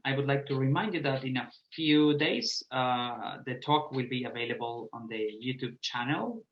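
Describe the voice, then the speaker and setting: Bright-voiced male moderator in echoey room. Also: medium pitch. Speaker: event host and moderator. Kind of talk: online talk moderation, reading audience questions. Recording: muffled, echoey room sound.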